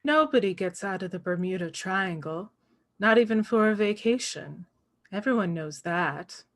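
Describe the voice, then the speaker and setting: A morbid, suspicious little girl, acted out by a woman in a table read. She is solemn and deliberate, with a deadpan delivery at a medium female pitch. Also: lightly acted. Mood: grim, matter-of-fact. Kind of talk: film script table read.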